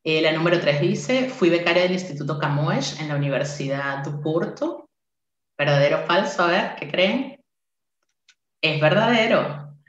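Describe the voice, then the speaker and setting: A woman's voice: low voice, expressive, normal speed, reverberant, highs cut off. A woman in her forties, a university English teacher, giving a friendly interactive talk to a workshop with frequent "eh" fillers. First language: Spanish. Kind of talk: online conference talk.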